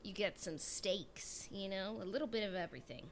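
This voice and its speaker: A woman's voice: mid-range voice, animated, moderate pace, a crowd in the background. A woman co-hosting a podcast in casual group banter, wry and thinking things over as she talks. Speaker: podcast co-host.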